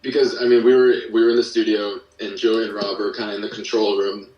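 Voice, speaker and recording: A man's voice: lowish voice, level tone, fast talker, darkish tone, dull audio. A man chatting casually as an interview guest, explaining things in an informal, thoughtful way. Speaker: podcast guest, musician and audio drama creator. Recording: echoey room, distant from mic.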